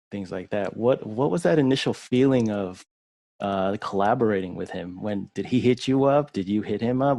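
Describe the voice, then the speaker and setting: A man hosting an interview, asking friendly, rambling questions in a relaxed way. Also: on the low side, vocal fry, no room sound. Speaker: interview host. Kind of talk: casual interview.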